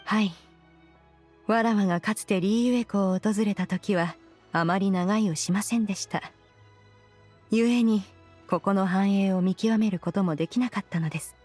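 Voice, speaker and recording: Actress as ageless game immortal woman: breathy-edged mid voice, stately and formal, old-fashioned diction. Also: medium pitch, normal speed, darkish tone, soft background music, close to an everyday voice. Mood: reflective. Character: ancient female adeptus, dignified, aloof, archaic. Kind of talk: Japanese anime game voice acting.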